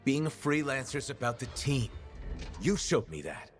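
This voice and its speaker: An actor playing an earnest, loyal freelancer and peacemaker in a video game, with a conversational manner. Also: male voice, medium pitch, very animated, moderate pace, soft background music, natural voice. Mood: earnest, urging. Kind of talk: dramatic video game cutscene acting.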